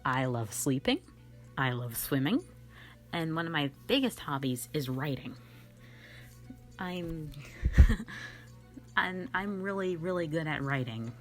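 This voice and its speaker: A woman's voice: low-pitched, animated, slow pace, pauses often, faint music behind. A young woman hosting a casual question-and-answer chat, giggly, rambling and playfully self-mocking. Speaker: online channel host and amateur voice actor.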